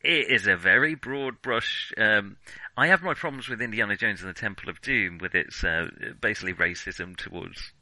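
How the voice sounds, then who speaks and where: Bright-voiced man in casual film chat. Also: on the low side, normal speed, no room sound, low-quality recording. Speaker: film podcast co-host.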